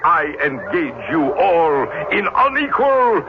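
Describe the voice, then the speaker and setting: An actor playing a deluded, bombastic knight in a radio drama, declaiming grandly. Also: male voice, on the high side, very animated, slow pace, phone-quality sound. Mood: defiant. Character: deluded, bombastic old knight challenging windmills as giants. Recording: noisy band-limited old radio recording.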